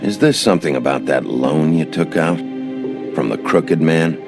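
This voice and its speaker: Game investigator: deep, creaky, blunt and world-weary, with loud music underneath. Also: male voice, animated, moderate pace. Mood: dry, restrained. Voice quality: gravelly. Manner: terse, sardonic, impatient. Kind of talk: video game drama acting.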